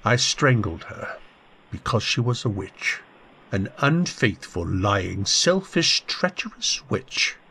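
A man playing a tormented, bitter, guilt-ridden husband with a husky voice, in old-time radio drama style. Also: on the low side, big pitch swings, takes it slowly, pushed and bright, full character voice. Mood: bitter, confessing. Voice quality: husky, gravelly.

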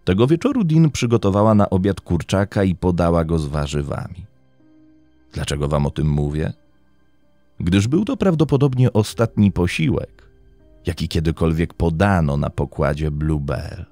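Deep-voiced man narrating true crime. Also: big pitch swings, normal speed, mellow, dry recording. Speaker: podcast host and narrator. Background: background music.

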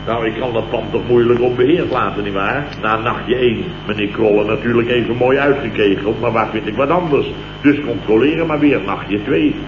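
An actor playing an exasperated, sarcastic office boss in a blustering manner, in old radio comedy style. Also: male voice, mid-range voice, brisk, close to an everyday voice. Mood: exasperated, incredulous. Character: indignant, sarcastic male office boss telling his night's ordeal. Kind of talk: 1970s Dutch radio comedy acting. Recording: muffled, noisy, roomy old radio recording.

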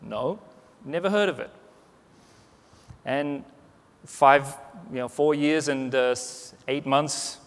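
A man's voice: medium pitch, slow pace, pauses often, smooth. A man in his forties giving a conversational conference keynote on a large stage, with lots of restarts and "you know.". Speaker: keynote speaker. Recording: reverberant conference hall.

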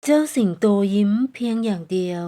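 A woman's voice: mid-range voice, animated, brisk, dark tone. A woman reading scripted bedtime story narration as a channel's storyteller.

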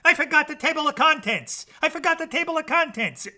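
A man's voice: very high-pitched, lively intonation, a little fast, sharp tone, voice pushed up, exaggerated voice. A man playing a flustered storybook narrator character who interrupts with urgent exclamations.

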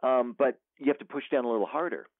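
A man's voice: mid-range voice, quick pace, no echo. A man who is an author, chatting casually in an interview, rambling with many fillers and restarts. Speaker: author guest. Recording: dry, tinny phone line.

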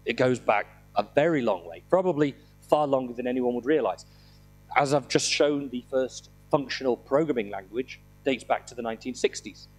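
A middle-aged man with a slightly croaky voice from a throat infection, giving an informal, self-deprecating technical talk with dry humour into a handheld microphone. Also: medium pitch, moderate pace. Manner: self-deprecating, dryly humorous. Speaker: conference speaker. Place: indoor conference room, speaking on stage.

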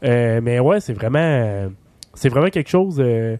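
A man with a Québécois French accent, a podcast guest speaking with halting enthusiasm. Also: mid-range voice, animated, dark tone, dry recording. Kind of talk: casual podcast chat.

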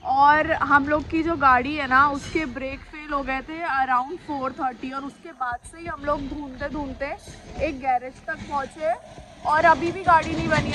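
A woman's voice: high-pitched, noisy background. A young woman in her twenties talking casually to her phone camera as a travel vlogger, tired and sleepy. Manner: chatty, tired, mixes in English words. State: exhausted and sleepy. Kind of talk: casual travel vlog narration. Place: roadside by a car, outdoors. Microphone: phone selfie at arm's length.

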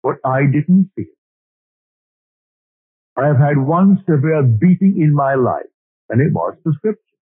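An older man from Barbados lectures earnestly, weaving stories with quoted scripture and verse. His voice is husky and dry, heard through a narrow, lo-fi old recording. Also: fairly high pitch, expressive, a little slow, pauses often, no echo. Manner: earnest storytelling, quoting scripture and poetry. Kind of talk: spiritual lecture. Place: lecture hall. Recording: old lo-fi tape recording, phone-like band.

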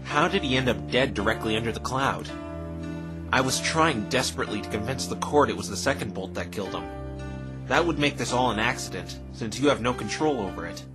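A man voicing an earnest, bemused defense lawyer in a fan dub, asking measured questions with dry puzzlement. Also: medium pitch, lively intonation, natural voice. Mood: earnest, puzzled. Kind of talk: fan dub voice acting of a visual novel. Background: music.

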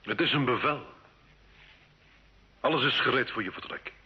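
An actor playing a haughty, domineering rich French baron and curt husband, imperious and dismissive, in an old Dutch radio drama. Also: male voice, mid-range voice, moderate pace, slightly rough. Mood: curt, brooding. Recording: dull old radio play recording.

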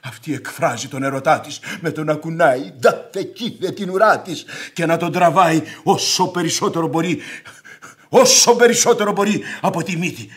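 An actor in a radio play playing a hot-tempered, sarcastic middle-aged gentleman creditor who declaims theatrically. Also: a little high, expressive, lightly acted. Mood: scornful, declaiming.

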